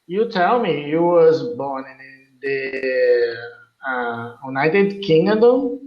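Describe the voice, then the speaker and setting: Slow, hesitant Brazilian man learning English. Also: a little high, animated, roomy sound, lo-fi sound. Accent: Brazilian Portuguese. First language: Portuguese. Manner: hesitant, halting learner English with many fillers. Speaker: English student. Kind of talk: online conversation lesson.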